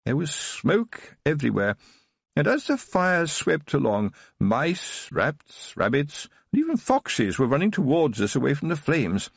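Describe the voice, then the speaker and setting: A husky man reading as a frail old nobleman, lifted a little higher than his own voice, drifting into tender memories with a trembling, wistful lilt. The delivery is warmly animated and gravelly, recorded close and dry. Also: unhurried, no room sound, heavily acted. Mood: wistful, joyful. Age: older. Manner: trembling, wistful, rambling recollection. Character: frail elderly male aristocrat, tearful and nostalgic.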